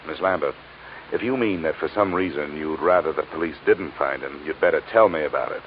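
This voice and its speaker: An American man playing a blunt, deadpan insurance investigator in an old-time radio crime drama, speaking in a clipped manner. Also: extremely low pitch, a little fast, a little hiss or hum. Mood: brisk, probing. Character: brisk, blunt hard-boiled male insurance investigator narrating his case. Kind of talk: 1940s-50s radio detective acting. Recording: tinny band-limited old radio, music behind.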